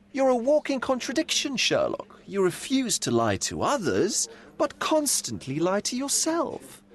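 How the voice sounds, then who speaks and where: An actor playing a theatrical, mocking gentleman who preaches cynical philosophy in a video game, teasing and grand. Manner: theatrical, sweeping pitch swings, teasing.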